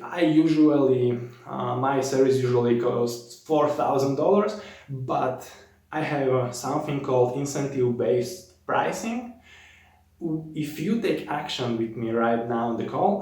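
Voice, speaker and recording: A man giving informal sales coaching to the viewer, speaking in a halting, repetitive way and checking in as he goes. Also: mid-range voice, darkish tone. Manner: halting, repetitive, often ends points with "okay?". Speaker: online sales coach, video host. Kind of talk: informal instructional talk to camera. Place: reverberant room. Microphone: placed at a distance from the speaker. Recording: very echoey room, distant mic.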